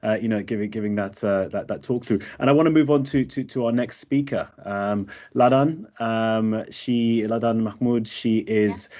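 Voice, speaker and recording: A man hosts an online panel, thanking one speaker and introducing the next. He talks in a fairly low voice. He pauses often with "um" and "you know". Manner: warm praise, hesitant fillers. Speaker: panel host.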